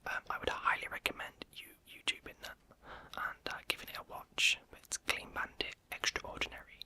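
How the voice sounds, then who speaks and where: A young adult creator answers viewer questions in a steady, fully unvoiced ASMR whisper. The whisper is very bright and crisp, with a sharp airy hiss. Talk meanders with frequent "um"s and quick self-corrections. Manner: hesitant and rambling, many "um"s, self-correcting.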